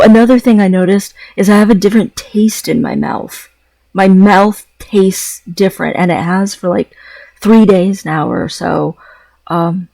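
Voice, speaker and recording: A man's voice: high voice, gravelly, a little mellow, dry recording, low-quality recording. A man talking in a casual, rambling vlog style, hesitant, often repeating phrases and trailing off mid-thought. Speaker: vlogger.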